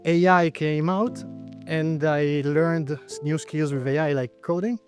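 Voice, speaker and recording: A man's voice: fairly high pitch, fairly even intonation, a little slow, over music. A man in his forties from Italy, speaking with an Italian accent as a startup founder answering interview questions, thoughtful, with frequent hesitations. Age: 40s.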